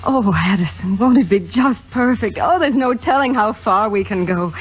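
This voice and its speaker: A woman's voice: medium pitch, animated, normal speed, close to an everyday voice. A woman playing an ambitious, self-assured young stage actress in a 1950s radio drama, with a poised theatrical delivery. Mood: elated. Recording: dull vintage radio recording with music.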